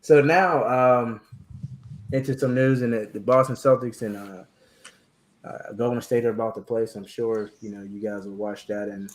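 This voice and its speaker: A man hosting a casual chat about sports and faith, talking in halting bursts with many fillers and restarts. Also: medium pitch, fairly even intonation. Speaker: podcast host.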